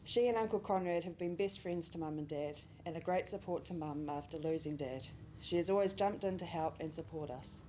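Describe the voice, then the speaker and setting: A woman's voice: low voice, fairly quick, few pauses, warm tone, a little hiss or hum, rough recording. A young woman reading a memorial speech for a family friend, reminiscing with small laughs. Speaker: family friend giving a eulogy. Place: memorial service venue.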